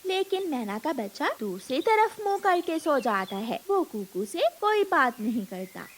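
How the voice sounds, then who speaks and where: Female storyteller, very high voice, animated intonation, scripted kids' tale, faint hiss. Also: measured pace. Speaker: story narrator. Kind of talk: scripted children's story narration. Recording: noisy with hiss.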